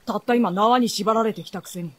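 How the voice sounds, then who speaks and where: A woman playing a resolute, cool-headed teenage boy with a husky voice in a radio drama. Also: medium pitch, brisk, no room sound, natural voice. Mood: dry. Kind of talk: Japanese radio drama acting.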